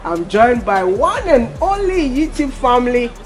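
Lively playful man hosting an interview. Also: extremely high pitch, unhurried, faint music behind. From Ghana. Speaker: YouTube host interviewer. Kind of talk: casual interview.

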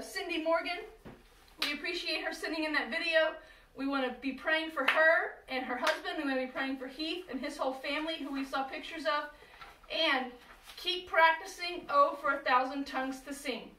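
Bright high-voiced woman teaching kids. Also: normal speed. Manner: lively, upbeat, talks to kids. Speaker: children's music and Sunday school teacher. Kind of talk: teaching children. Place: classroom. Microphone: camera across the room. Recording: roomy, distant classroom sound with faint noise.

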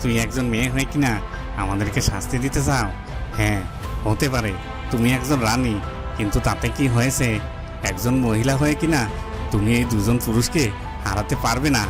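A man plays a boastful cartoon bandit with a scornful, menacing way of talking. His voice sits at an average male pitch, and music runs underneath. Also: brisk, natural voice. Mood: mocking. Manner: mocking, menacing. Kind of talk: Bengali fairy-tale cartoon dubbing.

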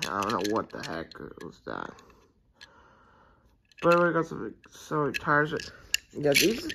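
Slow, rambling toy reviewer. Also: medium pitch. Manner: rambling, hesitant, many restarts; excited about the toys. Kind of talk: casual unboxing review.

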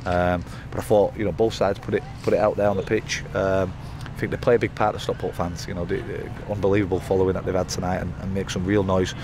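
Fast-talking football manager, noisy pitchside. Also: male voice, little pitch movement. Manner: rambling, frequent restarts and fillers. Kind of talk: post-match interview. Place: outdoors by a floodlit football pitch at night. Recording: outdoor interview with loud background noise.

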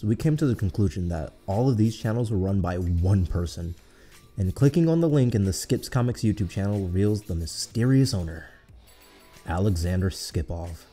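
A man's voice: low voice, dark tone, husky. A man co-narrating a scripted video essay in an earnest, critical manner.

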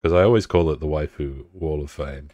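A man's voice: very deep voice, brisk, mellow, dry recording, muffled recording. A young man streaming a game, chatting casually with lots of swearing, sounding a bit bunged up. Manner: profane, laid-back banter with chat. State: congested, bunged up. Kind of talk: casual live-stream chat.

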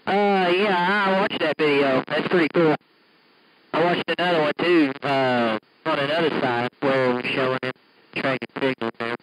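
A man's voice: on the high side, normal speed. A man chatting casually as a CB radio operator on a live stream. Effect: CB radio transmission. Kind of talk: casual two-way radio chat. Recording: noisy CB radio stream with static.

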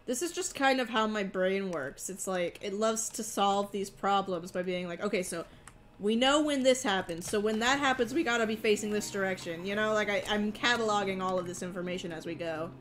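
A woman streaming a co-op horror game, calmly explaining tactics and guiding her partner. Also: medium pitch, a little fast, slight background noise. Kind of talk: casual gameplay chat.

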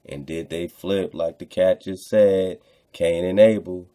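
A man's voice: deep voice, fairly even intonation, a little slow, dark tone. A man hosting online radio, commenting casually on texts he reads aloud, repeating phrases for emphasis and addressing listeners in slang.

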